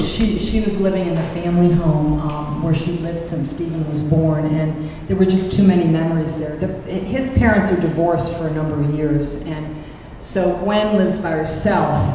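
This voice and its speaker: A woman speaks as a poet at a public reading, her voice fairly low and mellow. The sound comes from a distant mic in a very reverberant hall. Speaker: poet reading from own book and taking questions. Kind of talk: poetry reading and audience question-and-answer. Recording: echoey hall, distant mic, faint noise.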